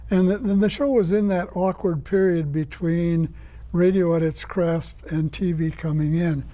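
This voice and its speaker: A man answering interview questions as a guest, explaining things in a measured, informative way. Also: sits high, steady tone, warm tone. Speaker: guest, writer and director of radio plays.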